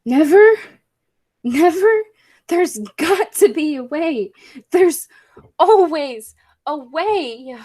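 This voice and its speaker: Slow, high-voiced, proud inventor played by a woman. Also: animated, mellow, heavily acted. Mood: frantic, angry. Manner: formal, theatrical, deliberate phrasing. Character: Dudley, proud, driven inventor and time traveler. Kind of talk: 1940s-style radio play acting.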